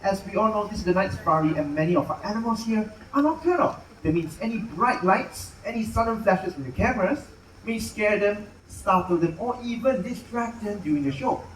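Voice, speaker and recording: High-pitched male show host. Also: lively intonation, fairly quick. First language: Mandarin. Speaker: live animal show host. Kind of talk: animated stage announcing to an audience. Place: outdoor amphitheater at night. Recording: echoey amplified outdoor venue, distant, noisy.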